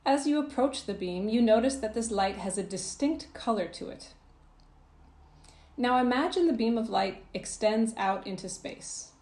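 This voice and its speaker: A woman narrating a guided visualisation as a coach, in a soothing, gentle, measured manner with phrases that trail off softly. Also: on the high side, lively intonation, echoey room. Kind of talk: guided meditation, scripted narration.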